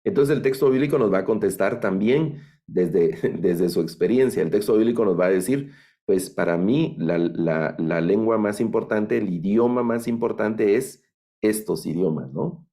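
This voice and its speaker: A middle-aged man with a Guatemalan accent giving an online lecture on biblical languages, thoughtful and halting, with many fillers and restarts. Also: on the low side, mellow. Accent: Guatemalan Spanish. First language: Spanish. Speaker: Bible language instructor.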